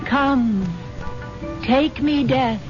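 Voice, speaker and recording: An actress playing a trusting, wealthy wife in an old-time radio mystery, earnest, genteel and hesitant, with a sentimental streak. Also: female voice, high-pitched, extremely slow. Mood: solemn, reciting. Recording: muffled vintage radio recording with loud music.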